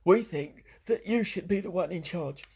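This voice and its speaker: A performer acting in an amateur radio play as a dotty senior with a husky, rough voice and a deliberate, solemn manner. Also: medium pitch, darkish tone. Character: dotty senior who speaks as half of a pair.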